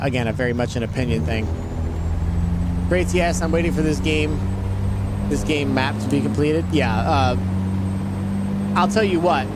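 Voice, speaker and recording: A man in his thirties streaming a game, chatting in a rambling, blunt way with casual swearing. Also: mid-range voice. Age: 30s. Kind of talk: casual live-stream chat while gaming. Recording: loud vehicle noise behind.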